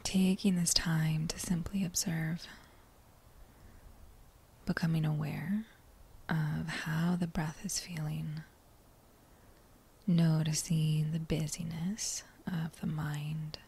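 A woman guiding a meditation in a breathy whisper, gentle and soothing, with long unhurried pauses between phrases. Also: sits low, little pitch movement. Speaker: meditation guide. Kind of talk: guided meditation, whispered soft-spoken.

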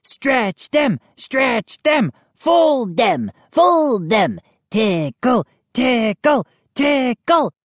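A woman voicing gleeful, menacing gnomes with shrill voices, chanting in a children's storybook. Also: big pitch swings, very slow, few pauses, dry recording, full character voice.

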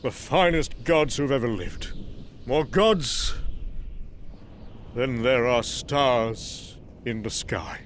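Guy doing a boastful viking god warrior from a comic, theatrical and slow, noisy hum behind. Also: medium pitch, natural voice. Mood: boastful, jovial. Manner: grand, theatrical, archaic formal diction. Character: boastful, mighty Norse thunder god, proud warrior storyteller. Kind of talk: dramatic comic book reading with narration.

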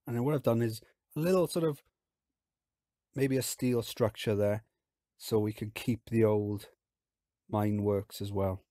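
A man with a hoarse, croaky voice from illness, chatting casually as he talks through a theme park game. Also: lowish voice, unhurried, pauses often, no room sound, clean studio sound. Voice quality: husky, croaky. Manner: relaxed, chatty, explains as he plays. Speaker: game video host.

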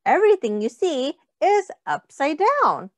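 Middle-aged lady doing storytime for little kids, really swoopy pitch, takes it super slow. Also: big pitch swings, dry recording. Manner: simple, deliberate, child-directed phrasing. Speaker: early childhood teacher reading aloud. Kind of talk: children's picture book read-aloud with questions to young listeners.

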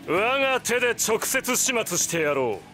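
A man voicing an arrogant, godlike vampire villain in a Japanese video game, with grandiose, drawn-out taunts and bursts of shouting. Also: high voice, sing-song, soft background music, exaggerated voice. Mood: menacing.

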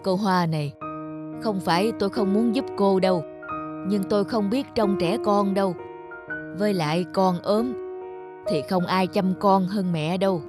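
A woman's voice: medium pitch, normal speed, over music, natural voice. A woman voicing a grumpy, aloof middle-aged male neighbour in a cartoon, grumbling and muttering to himself. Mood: reluctant. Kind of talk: cartoon voice acting.

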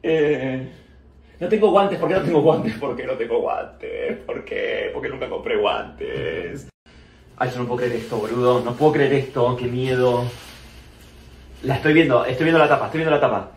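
A man's voice: mid-range voice, expressive, normal speed, creaky, roomy sound. A man in his thirties with an Argentine accent, chatting casually to his followers in a dramatic, exclamatory way and often repeating himself. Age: 30s. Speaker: social media vlogger. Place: indoors at home, mostly a kitchen. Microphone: phone, at varying short distance. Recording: noisy handheld phone recording.

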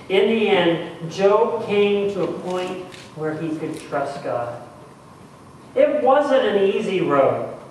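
Echoey, high-voiced preacher, slow and deliberate. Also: male voice. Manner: deliberate, dramatic pauses and repeated phrases for emphasis. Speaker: pastor. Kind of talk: sermon. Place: church sanctuary, speaking at the front. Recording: echoey church, distant mic, faint noise.

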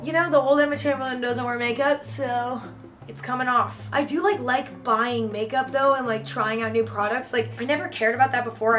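Bright, chatty young woman vlogger. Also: a little high. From Northern California. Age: young adult. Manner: chatty, self-mocking, frequent "like" fillers. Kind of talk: casual vlog chat. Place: hotel room.

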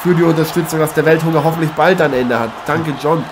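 Man acting as boxer co-commentating, raised pitch, dramatic, halting, parody radio play, noticeable background noise. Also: crowd noise, natural voice. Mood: earnest. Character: American boxer Matthew Ironhead co-commentating, dramatically aghast. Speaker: boxing co-commentator. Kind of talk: improvised parody live sports commentary. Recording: noisy amateur recording with ambience.

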